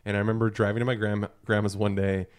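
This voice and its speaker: A man with a fairly low, clean and resonant voice co-hosts a casual podcast. He talks in a loose, joking way, often breaking into his own sentences. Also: flat delivery, very fast, clean tone. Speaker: podcast co-host. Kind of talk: casual group chat, anecdotes and gaming news.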